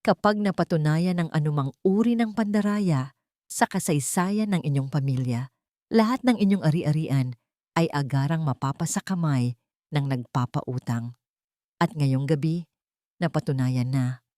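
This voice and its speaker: A woman voicing a vengeful, wealthy father who speaks in a measured, grave, accusing way in a melodrama. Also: deep voice, dark tone, no echo, clean studio sound. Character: vengeful rich father, coldly menacing, judge-like man. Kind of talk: Tagalog melodrama story dialogue.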